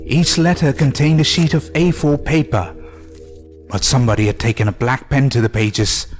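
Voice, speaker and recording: A man narrating a scripted horror story in a deliberate, suspenseful way with dramatic pauses. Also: medium pitch. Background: music under the voice.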